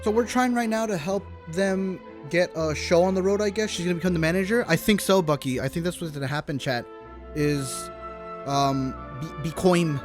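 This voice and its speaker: A man's voice: high-pitched, normal speed, soft background music. A man livestreaming a game, giving casual, informal commentary to his chat with loose, reactive asides.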